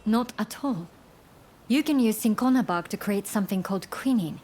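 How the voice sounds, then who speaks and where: A woman's voice: mid-range voice, normal speed, a little mellow, close to an everyday voice. A woman voicing a compassionate, resolute doctor in a video game, earnest and polite.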